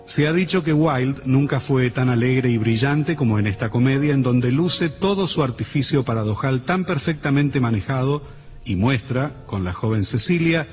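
A man with an Argentine accent gives a formal, measured, literary introduction to a radio play, reading from a script. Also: mid-range voice, animated. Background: music.

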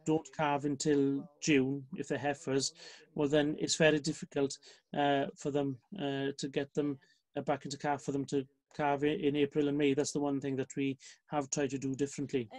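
A man's voice: mid-range voice, normal speed, no echo, poor audio. A man, a farmer, talking casually about his herd while sitting in a barn, hesitant and full of fillers. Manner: flat, hesitant with many fillers. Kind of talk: casual discussion. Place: farm barn outdoors.

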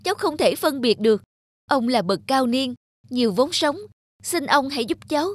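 An actor playing an earnest, devoted young husband in an animated folktale, speaking expressively. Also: male voice, very high voice, normal speed, no room sound, clean studio sound. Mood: bewildered, pleading.

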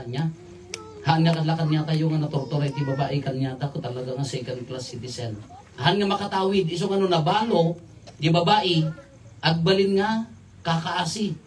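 A middle-aged man giving an informal homily as a priest, conversational and jokey, in halting phrases with rhetorical questions. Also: fairly high pitch. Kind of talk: informal homily mixing local language with English terms. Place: outdoors at night at a makeshift altar. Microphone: microphone on a stand, filmed from afar. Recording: distant, slightly off-mic capture.

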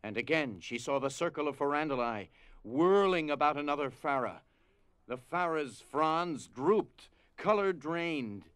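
A man narrating a scripted drama as storyteller, with grave, deliberate phrasing. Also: a little high, lively intonation, a bit bright, smooth, no room sound.

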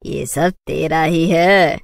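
A woman's voice: low voice, steady tone, takes it slowly, vocal fry, no echo, heavily acted. A woman voicing a sly, commanding witch who drawls and mocks in a cartoon story. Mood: sly, pleased. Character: sly green witch woman, mocking, offering bargains.

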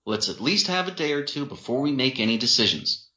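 A man plays a level-headed, muscular young boyfriend in an audiobook. He placates and reasons in a raised, forceful pitch. It is recorded in a roomy space with slightly dull sound. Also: very animated, fairly quick. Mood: exasperated, reasoning.

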